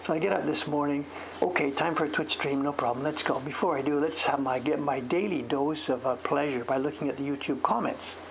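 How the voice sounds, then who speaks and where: A man's voice: fairly high pitch, a little fast, gravelly, noisy background. A man chatting casually on a livestream while he carves, rambling and self-deprecating, chuckling as he tells a story. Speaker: streamer and woodblock print carver.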